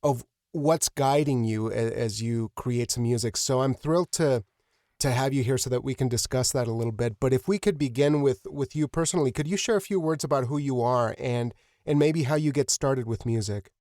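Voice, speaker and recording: A man's voice: medium pitch, moderate pace, a little mellow, no echo. Effusive male podcast host, dry sound. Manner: effusive, appreciative, hesitant phrasing. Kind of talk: casual interview hosting.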